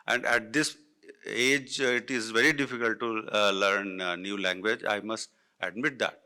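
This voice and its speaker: Man, Indian English accent, native Hindi speaker, middle-aged, conference panel remarks, smooth crisp tone, lots of fillers. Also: lowish voice, fairly even intonation, bright, forceful tone. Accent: Indian English, Hindi-influenced. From north India. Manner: halting, many fillers and restarts.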